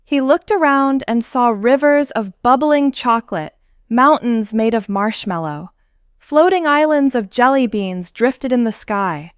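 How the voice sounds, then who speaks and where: A woman's voice: on the high side, expressive, measured pace, smooth, no room sound, studio quality. A woman reading a storybook aloud for young children, expressively and in simple phrases, as the host. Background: children's music with jingles.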